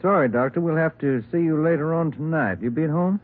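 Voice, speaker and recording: Actor as quick-talking police detective, old radio. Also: male voice, fairly high pitch, close to an everyday voice. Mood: polite, businesslike. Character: plainspoken male police detective, working partner to a sergeant. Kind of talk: 1950s radio police drama acting. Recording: narrow-band old radio recording.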